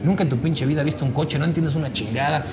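A man's voice: a little high, quick pace. A man in his forties from Mexico, talking casually at a table with blunt, profane slang, on a lapel mic. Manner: blunt and profane, heavy slang, gestures a lot. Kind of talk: casual chat. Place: sitting at a table in a small room indoors. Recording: roomy with steady background noise.

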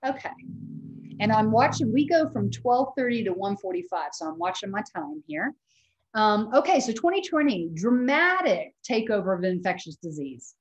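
A woman's voice: mid-range voice, very animated, moderate pace, a little hiss or hum. Chatty lecturing woman, echoey room. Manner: loud projecting voice; casual chatty teaching with fillers. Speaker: university lecturer. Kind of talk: online lecture over slides. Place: home room on video call. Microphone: laptop mic on video call.

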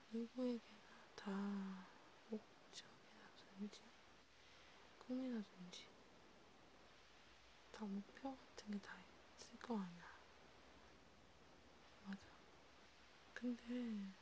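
A woman speaks in a hushed ASMR whisper, as if counseling one listener. Her voice is soft and dark, and she goes along haltingly, in casual conversation. A faint noise sits behind her. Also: mid-range voice, rough recording. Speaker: ASMR creator playing a counselor. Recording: noticeable background hiss.

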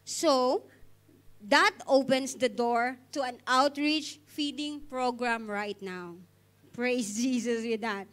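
A woman's voice: fairly high pitch, fairly even intonation, slow pace, a bit bright. A young woman with a Filipino accent giving an earnest devotional talk and prayer to a congregation on a handheld microphone. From Philippines. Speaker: church speaker leading offering. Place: indoor church stage.

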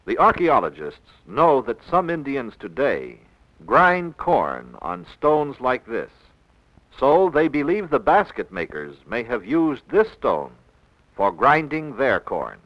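A male American narrator delivers scripted educational narration in the manner of a 1960s classroom film. He speaks slowly and instructively, enunciating each phrase, with a widely varied melody. The sound is dry, from an old film soundtrack. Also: medium pitch, sing-song, a bit bright, dry recording, rough recording. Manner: measured, didactic, clearly enunciated.

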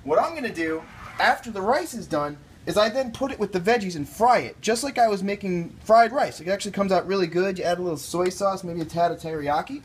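A man's voice: high voice, lively intonation, a little fast, slightly rough. A young man with an American accent casually explaining a recipe as a cooking show host, playful and slangy. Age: young adult. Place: home kitchen and grocery store, indoors.

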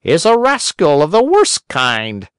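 A man's voice: fairly high pitch, huge pitch swings, measured pace, clear, resonant tone, dry recording, studio quality, voice pushed up. Stern storybook cricket doctor, raised and lecturing; male reader puts it on.

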